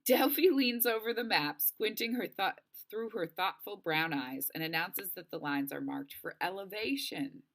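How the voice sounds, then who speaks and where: A woman narrating a children's novel aloud in an expressive storybook style, acting out the dialogue. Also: medium pitch, moderate pace, few pauses. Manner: animated, acts out dialogue.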